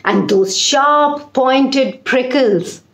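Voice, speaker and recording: A woman in her forties with an Indian accent, telling a children's story with deliberate, emphatic repetition. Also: sits high, a bit bright. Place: small indoor room. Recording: roomy echo.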